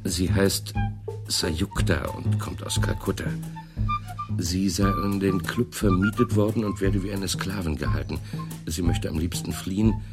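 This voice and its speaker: An actor playing an earnest, matter-of-fact police detective giving reports in a radio crime drama. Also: male voice, low-pitched, unhurried, over loud music. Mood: earnest, factual.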